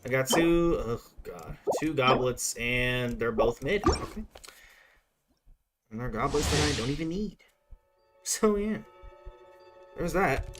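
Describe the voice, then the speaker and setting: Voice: medium pitch, lively intonation, slow, long pauses. A young adult streamer giving casual gameplay commentary, rambling in a slangy, profane way. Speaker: game streamer. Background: game music.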